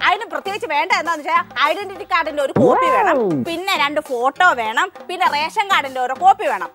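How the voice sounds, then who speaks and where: A woman playing an ignorant, gossipy, loud housemaid in a television comedy sketch, excitable and bossy, speaking. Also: extremely high pitch, quick pace, music in the background. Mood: chatty, self-important.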